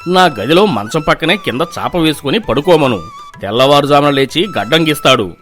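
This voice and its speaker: A man's voice: a little high, expressive, fairly quick, fairly bright tone, soft background music, pitched below the performer's own voice. A man voicing an imperious, superstitious village landlord in a storybook tale, commanding and threatening. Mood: stern, impatient. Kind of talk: animated storybook dialogue.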